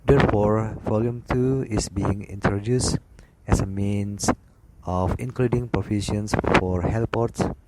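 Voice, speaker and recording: Slow, halting man over loud noise. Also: on the low side, fairly even intonation. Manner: halting, broken phrasing with stumbles over words. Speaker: instructor reading aviation regulations. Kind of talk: read-aloud scripted lesson narration. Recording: loud background noise with clicks.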